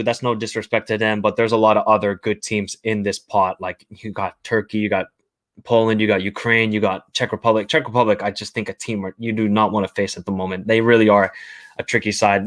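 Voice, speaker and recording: A man's voice: on the low side, a bit bright. Chatty American-accented man hosting a livestream. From half American, half Finnish, living in Finland. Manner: chatty, opinionated, repeats phrases. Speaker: football livestream host. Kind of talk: casual livestream chat reading viewer comments.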